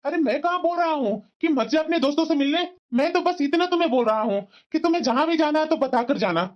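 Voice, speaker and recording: A dull, lo-fi recording of a high-pitched young husband in a family cartoon, acted out by a woman. He is a dutiful son, exasperated and reproachful as he reasons and scolds. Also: lively intonation, fast, soft background music. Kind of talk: cartoon family drama dialogue. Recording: dull, muffled lo-fi sound.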